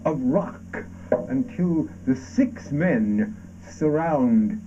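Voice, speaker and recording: An actor playing a fervent, accusing orator in a surreal avant-garde radio play, declaiming haltingly with drawn-out words. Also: male voice, medium pitch, lively intonation. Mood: solemn, incantatory. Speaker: stage actor. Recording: dull lo-fi stage performance recording.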